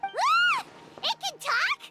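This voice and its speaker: A woman voicing a small, childlike floating companion creature in a video game, squeaky and excitable. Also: slow, over loud music, full character voice. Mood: indignant, startled. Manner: excitable, talks about self in third person.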